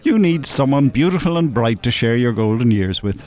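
A man acting a worldly older Irishman in a radio play. He is dry, teasing and opinionated, with a lively rise and fall in his voice and a blunt, cynical streak. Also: medium pitch, normal speed, close to an everyday voice. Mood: persuasive, blunt. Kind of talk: naturalistic radio drama acting.

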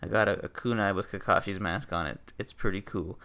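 A man's voice: low voice, fairly quick, sharp tone. A young man hosting a casual podcast, laid-back and swearing freely. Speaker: podcast host.

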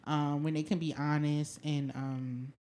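A lower-voiced woman in her late twenties hosts a casual podcast. She tells stories with lots of slang and lively emphasis, often starting with "yo.". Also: unhurried. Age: 20s. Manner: animated storyteller, slangy, says "yo" a lot. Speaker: podcast host.

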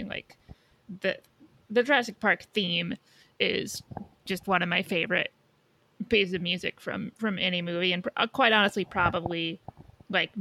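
A woman's voice: lowish voice, vocal fry, sharp tone, slight background noise, low-quality recording. A woman co-hosting a podcast, chatting casually about pop culture and thinking aloud. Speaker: podcast co-host.

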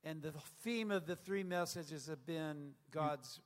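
An older man preaching a sermon in short phrases, pausing for an interpreter, with a playful touch. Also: fairly high pitch. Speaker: guest preacher. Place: church hall. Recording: long church reverb.

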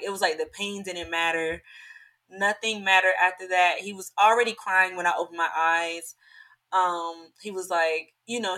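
Young adult woman, bright with a touch of hoarseness; casual animated storyteller. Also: fairly low pitch. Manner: chatty, animated, many fillers. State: slightly hoarse. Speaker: podcast guest.

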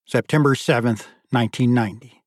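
A man's voice: mid-range voice, brisk, no room sound, clean studio sound. A man reading literary fiction as an audiobook narrator, in scripted storytelling prose.

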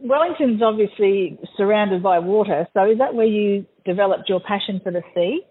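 A woman hosting a travel radio show, asking an interviewee questions in a friendly, measured way. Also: mid-range voice, breathy edge, phone-quality sound.

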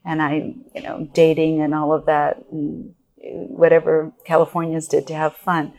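Low-pitched woman, middle-aged, from japan, guest expert, explanatory, thinking aloud. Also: monotone, measured pace, a little mellow. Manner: explanatory, thinking aloud with restarts and "you know". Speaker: guest expert on organizational culture. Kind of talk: conversational interview answers.

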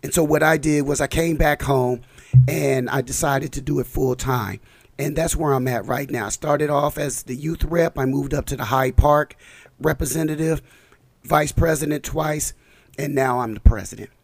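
A man's voice: a little high, normal speed, dry recording. A man from Los Angeles, a community leader, talks loosely with fillers and restarts, his voice a little scratchy from a cold. He sits close to a dry studio mic. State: slight cold, a bit scratchy. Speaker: community council president, guest. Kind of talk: casual interview talk.

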